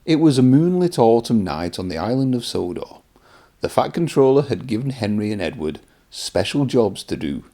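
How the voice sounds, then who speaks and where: Man reading a children's storybook, lively pitch. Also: moderate pace. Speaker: children's read-aloud presenter and narrator.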